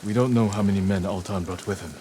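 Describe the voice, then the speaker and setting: Low, solemn samurai avenger, acted by a man. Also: steady tone, warm tone, lightly acted. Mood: firm, urging. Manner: measured, solemn, stern. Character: grim, resolute samurai avenger sworn to duty. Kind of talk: dramatic video game acting. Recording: noisy outdoor game ambience behind voice.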